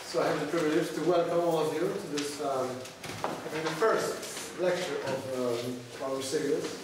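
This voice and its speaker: A man in his thirties, hesitant and halting, giving a formal introduction of a guest lecturer from notes. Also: a little high, fairly even intonation, recorded from a distance. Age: 30s. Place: small lecture room with seated audience, indoors. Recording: echoey room from afar, noisy background.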